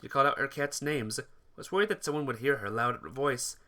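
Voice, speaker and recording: A man's voice: mid-range voice, brisk, bright, forceful tone, slight background noise. A man reading first-person visual novel narration aloud for a playthrough, stumbling over words and rereading them.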